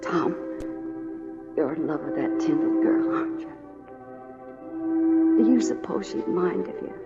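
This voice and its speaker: A woman's voice: on the low side, very animated, measured pace, loud music behind the voice. An actress playing a brassy, bold saloon woman with a tender heart, delivered with the drama of a 1940s radio western. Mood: dying, tender. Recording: dull old radio recording.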